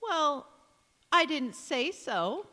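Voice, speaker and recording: Radio play, large hall: actress as bitter, tart woman, high-pitched and cutting. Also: sing-song, very slow, sharp tone, exaggerated voice. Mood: needling. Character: sour, bitter, disapproving older woman cousin. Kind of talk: radio play acting on stage. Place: large hall, live stage performance. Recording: echoey hall with long reverb.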